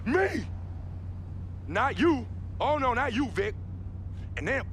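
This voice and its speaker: An actor playing an exasperated young basketball star, emphatic and slangy, in a dramatic video game cutscene. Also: male voice, high-pitched, slight background noise. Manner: emphatic, scolding, slangy, rhetorical questions.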